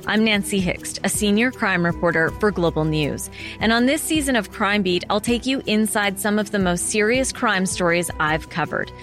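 A woman's voice: mid-range voice, lively intonation, normal speed, a bit bright. A woman crime reporter and podcast host reading a scripted promo narration. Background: music bed.

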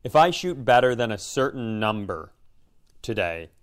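A man co-hosting a casual chat, thinking aloud in a halting, reflective way and often breaking off to rephrase. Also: lowish voice, sing-song, smooth. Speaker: podcast co-host.

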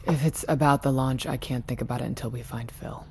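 An actress playing a controlling, weary tech company boss in an audio drama, guarded and persuasive, speaking in a measured, deliberate way. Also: female voice, very deep voice, steady tone, husky, full character voice. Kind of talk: naturalistic audio drama acting.

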